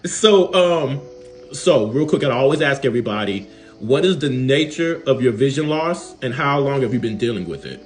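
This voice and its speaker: A man's voice: medium pitch, animated, normal speed, faint music behind. A man hosting an interview show, friendly and easygoing, with frequent fillers.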